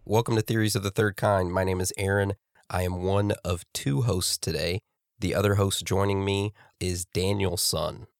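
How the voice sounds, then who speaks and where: Congested deep-voiced male podcast host. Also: normal speed, vocal fry, dry recording, soft background music. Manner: relaxed, jokey, laughs often. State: congested from a cold. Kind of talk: casual podcast chat. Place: studio across from co-host.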